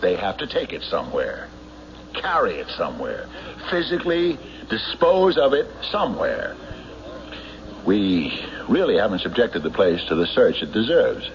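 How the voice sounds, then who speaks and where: Deep-voiced, dogged radio-drama sheriff. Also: male voice, wildly swooping pitch, moderate pace, a little hiss or hum, exaggerated voice. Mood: urgent reasoning. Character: dogged, stubborn small-town county sheriff, man. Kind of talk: 1970s radio drama acting, logbook narration and dialogue. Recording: dull 1970s radio recording, music behind.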